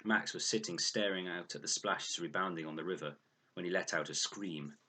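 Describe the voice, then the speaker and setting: A man reading a children's adventure novel aloud as an expressive, scripted narration. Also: low voice, normal speed, slightly rough. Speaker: audiobook reader.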